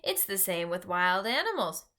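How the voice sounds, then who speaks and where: A woman voicing an earnest, easily exasperated gentle male giant in a children's storybook, speaking emphatically in folksy broken grammar with invented words. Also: medium pitch, very animated, normal speed. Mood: explaining. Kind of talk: read-aloud children's storybook.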